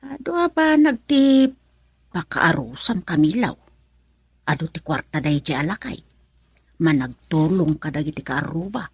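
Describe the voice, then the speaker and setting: A woman's voice: low-pitched, animated, unhurried, slightly rough, no room sound, full character voice. An actress with a Filipino accent playing a nagging, scheming mother in a radio drama. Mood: pushy, insistent. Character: nagging, scheming mother pushing her son to marry.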